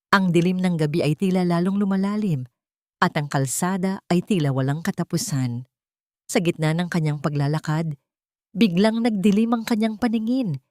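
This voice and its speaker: A woman narrating a scripted dramatic audio story in a measured, literary way. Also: on the low side, a little mellow, no echo, studio quality.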